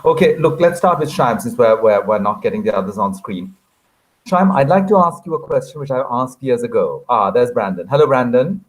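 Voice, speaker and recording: A man's voice: mid-range voice, animated, fairly quick, poor audio. A man hosting an online author conversation, chatty and genteel in his phrasing. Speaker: moderator of a literary event.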